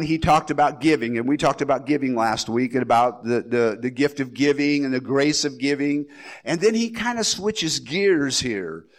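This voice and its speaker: A man with a slight cold preaching a casual, rambling verse-by-verse sermon as pastor. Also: a little high. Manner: rambling, folksy, with restarts and asides. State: has a head cold.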